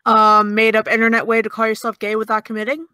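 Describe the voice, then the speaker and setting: A woman acting a snarky, high-voiced young woman. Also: monotone, quick pace, pushed and bright, clear, resonant tone, no echo, studio quality. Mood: mocking. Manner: teasing, sarcastic, needling. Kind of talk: audio drama dialogue of a video call.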